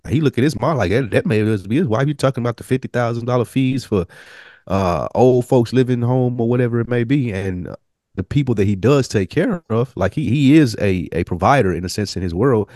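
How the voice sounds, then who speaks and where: A man's voice: mid-range voice, expressive, fairly quick, darkish tone, no echo, clean studio sound. An American man co-hosting a casual podcast, debating frankly in slangy speech with loose grammar. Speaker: podcast co-host.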